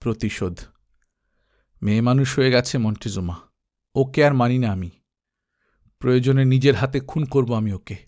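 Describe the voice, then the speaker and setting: A man voicing a proud, vengeful young Aztec prince, speaking low and resolute. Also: moderate pace, long pauses, a little mellow, close to an everyday voice. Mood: bitter resolve.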